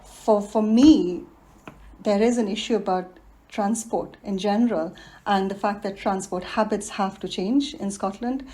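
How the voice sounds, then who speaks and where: A woman's voice: medium pitch, unhurried, low-quality recording. A woman in her forties from India, speaking reflectively in a podcast interview and laughing often. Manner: warm, reflective, laughs often, many fillers. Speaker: guest, environmental design professional.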